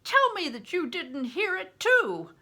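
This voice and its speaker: An older woman voicing a big, blustering, roughly kind male travelling performer, emphatic, gruff and teasing, in a storybook reading. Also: extremely high pitch, big pitch swings, slow pace, sharp tone, voice pushed up, full character voice. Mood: exultant.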